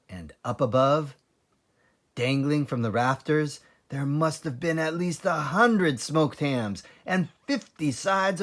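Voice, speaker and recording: Slow, animated male storybook reader. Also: on the high side. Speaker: children's story reader, librarian. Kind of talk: expressive scripted read-aloud narration.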